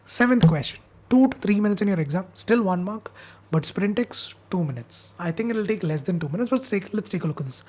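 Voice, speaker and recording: A woman's voice: fairly low pitch, mellow, husky. A young woman, teaching an upbeat exam revision class and coaxing viewers to answer in the chat, while she is losing her voice. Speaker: online tutor.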